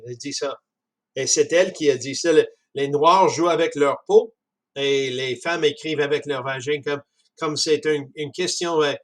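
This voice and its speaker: A man with a Canadian French accent talking casually about a book, halting often with restarts and chuckles. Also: on the high side, fairly bright tone. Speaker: speaker discussing a book. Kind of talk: casual spoken commentary.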